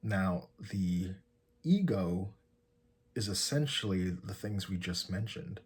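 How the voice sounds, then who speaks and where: A man guides a meditation in a deep voice. His delivery is very slow, with gentle instructions and long pauses. The tone is soft and extremely breathy, with a husky edge throughout. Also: animated.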